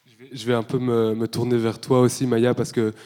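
Mellow-voiced male moderator. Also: medium pitch, little pitch movement, fairly quick. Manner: rephrasing while asking questions. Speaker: host, moderator. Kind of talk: moderating a panel discussion.

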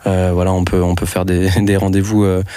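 A man's voice: low voice, completely flat delivery, a little fast, warm tone, smooth, no echo. A young man from France, a festival organizer speaking as a radio interview guest, hesitant with many restarts. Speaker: festival organizer and network coordinator.

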